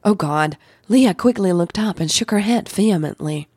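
A woman podcast host telling a story in a slow, suspenseful, intimate manner. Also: medium pitch, dark tone, husky, no room sound, clean studio sound. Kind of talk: dramatic audio story narration and podcast chat.

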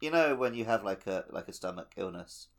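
A man's voice: lowish voice, expressive, a little fast. A man in casual gaming podcast chat, informal and musing, as if telling anecdotes between friends. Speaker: podcast co-host; teacher.

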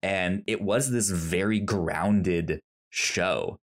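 A man's voice: low voice, very slow. A man co-hosting a podcast, chatting casually about a TV show, often stammering and restarting words. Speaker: podcast co-host. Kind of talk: casual chat reviewing a TV show.

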